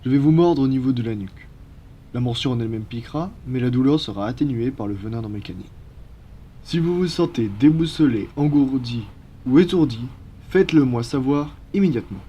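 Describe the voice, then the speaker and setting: Man playing a courteous, mellow-voiced male vampire. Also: mid-range voice, expressive, fairly quick, breathy edge, highs cut off. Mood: reassuring, formal. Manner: formal, polite, reassuring. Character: courteous, apologetic male vampire from a blood-donation foundation. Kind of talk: ASMR roleplay acting.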